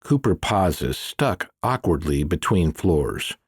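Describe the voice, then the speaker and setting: A man's voice: low-pitched, very animated, takes it slowly, breathy edge, dry recording, clean studio sound. A man reading scripted thriller narration as an audiobook narrator.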